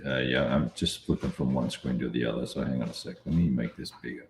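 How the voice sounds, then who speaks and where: A man's voice: very deep voice, steady tone, fast talker, slight background noise. A man talking casually as a player working through the rules and moves of a tabletop game.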